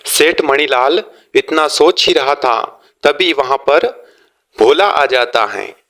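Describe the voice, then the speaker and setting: A man narrating a scripted moral story for children, deliberately, phrase by phrase. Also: medium pitch, a bit bright.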